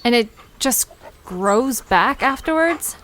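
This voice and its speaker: A woman's voice: a little high, lively intonation, slow, a bit bright, slight background noise. A woman playing a curious, teasing young park visitor in an audio drama, chatting in a casual, playful way. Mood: curious, amused. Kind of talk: conversational audio drama acting.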